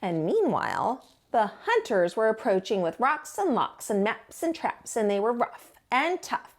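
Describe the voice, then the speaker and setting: A woman narrating a children's storybook as a storytime teacher, in an expressive read-aloud style. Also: on the high side, a little slow.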